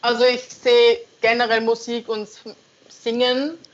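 A woman's voice: a little high, monotone, unhurried. Hesitant young woman, muffled recording. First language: German. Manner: hesitant, searching for words, laughs often. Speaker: songwriter interviewee. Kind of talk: casual interview answers. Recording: dull lo-fi sound.

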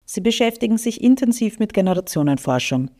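A woman's voice: medium pitch, animated, brisk, darkish tone. A woman hosting a professional podcast, with a polished presentation of the event and her guest. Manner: polished, read-aloud. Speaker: podcast host. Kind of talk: scripted introduction and interview questions.

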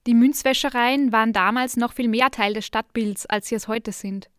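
A woman narrating a podcast reportage in a calm, even reading voice. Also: fairly high pitch, normal speed, smooth, no room sound, clean studio sound. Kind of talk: scripted narration.

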